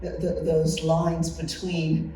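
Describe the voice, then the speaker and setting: A mellow-voiced interviewer guides a group discussion, thinking aloud and repeating words along the way. The voice is picked up from a distance in an echoey room with faint noise. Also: mid-range voice, completely flat delivery, slow. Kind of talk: conversational oral history interview. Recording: roomy echo, distant mic, faint noise.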